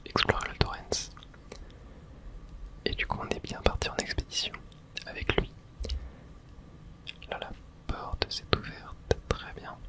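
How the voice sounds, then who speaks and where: A person whispering a breathy, unvoiced ASMR running commentary while playing a video game, in a soft, hesitant murmur. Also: rough recording. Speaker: gaming video host.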